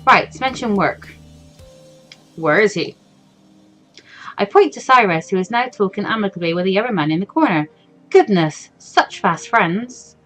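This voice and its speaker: A woman's voice: medium pitch, lively intonation, unhurried, pauses often, a bit bright, low-quality recording. A woman hosting a game playthrough, reading story text aloud expressively and adding casual asides of her own. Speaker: let's play host. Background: faint game music.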